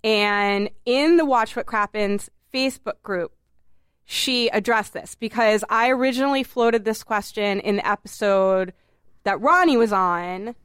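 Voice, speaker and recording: Brief: woman podcast host, smooth clear voice, animated and laughing, dry close mic. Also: medium pitch, unhurried, dry recording. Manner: animated, laughs often, exaggerated. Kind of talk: casual podcast chat.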